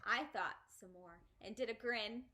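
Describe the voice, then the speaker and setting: A woman reading a children's story aloud as an expressive storyteller. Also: on the high side, measured pace. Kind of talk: expressive children's book narration.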